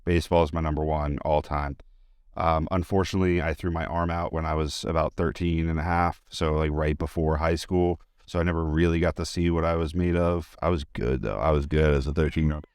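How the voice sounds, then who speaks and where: Very deep, smooth-voiced man chatting casually. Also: steady tone, fairly quick, darkish tone, no echo, studio quality. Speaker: interview guest, high school teacher and sports announcer. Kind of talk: casual conversational interview, anecdotes.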